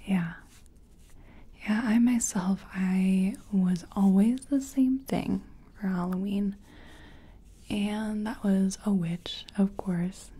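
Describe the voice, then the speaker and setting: A woman acting out a friendly makeup artist in an ASMR role-play. She whispers in a breathy, mellow voice and takes her time, chatting and reassuring softly as she works. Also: medium pitch, fairly even intonation. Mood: gentle, chatty. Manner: gentle, unhurried, chatty, reassuring. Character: friendly, self-taught makeup competition contestant. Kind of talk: soft-spoken ASMR personal attention role-play.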